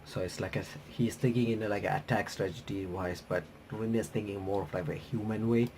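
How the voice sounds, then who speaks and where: Man haltingly reading game text aloud, faint noise. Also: fairly low pitch, steady tone, darkish tone. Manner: halting, stumbling reader who rereads phrases. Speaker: gaming YouTuber reading a visual novel aloud.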